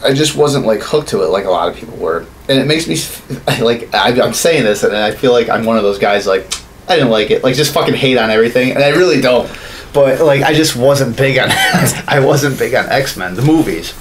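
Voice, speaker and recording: A man's voice: medium pitch, animated, fairly quick, a little hiss or hum. A man in his thirties chatting casually, profane and ranting as a podcast co-host in a small room. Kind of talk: casual opinionated chat about films. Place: small home studio room, indoors.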